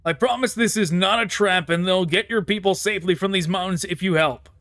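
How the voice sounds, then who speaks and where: A man's voice: sits high, a little fast, fairly bright tone, no echo, clean studio sound. A man hosting a gaming video, casually commenting on his playthrough and reading dialogue choices aloud.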